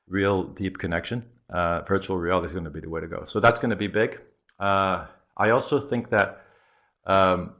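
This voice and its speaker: Belgian man with a faint American accent, deep gravelly voice, muffled lo-fi line, interview guest who pauses to think. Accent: slightly American-sounding. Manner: halting, thinks aloud with many pauses. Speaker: marketing guest. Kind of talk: podcast interview. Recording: dull lo-fi audio.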